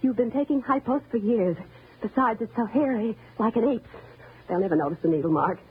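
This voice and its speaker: An actress playing a timid, resentful wife turned murderous, in old-time radio thriller style, menacing and bitter. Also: female voice, on the high side, animated, brisk, close to an everyday voice. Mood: calm menace. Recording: noisy, narrow-band old radio recording.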